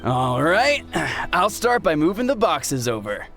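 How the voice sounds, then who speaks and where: A man's voice: fairly high pitch, big pitch swings, unhurried, fairly bright tone, soft background music. A man voicing a boastful young man in a game who brags about his muscles. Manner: swaggering, boastful. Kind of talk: game cutscene voice acting.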